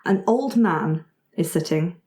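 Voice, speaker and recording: A woman's voice: fairly low pitch, lively intonation, takes it slowly, mellow. A woman narrating an improvised tabletop game as game master. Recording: roomy echo.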